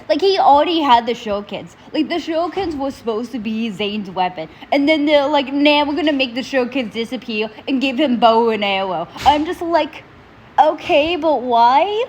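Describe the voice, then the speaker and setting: Stuffy young woman ranting about a show, highish voice, faint hiss behind her. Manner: animated, opinionated ranting to viewers. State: stuffy nose and sore throat. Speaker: reaction video host. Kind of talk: casual reaction commentary.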